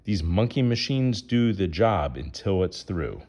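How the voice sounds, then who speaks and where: A man's voice: low-pitched, very animated, measured pace, darkish tone. A man reading a rhyming children's picture book aloud in a sing-song way, putting questions to young listeners.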